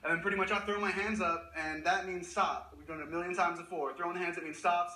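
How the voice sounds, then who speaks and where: Fast-talking defensive man. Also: high-pitched, steady tone, pushed and bright, distant mic, slight background noise. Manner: quick, defensive. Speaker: plaintiff. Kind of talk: courtroom testimony. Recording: echoey courtroom.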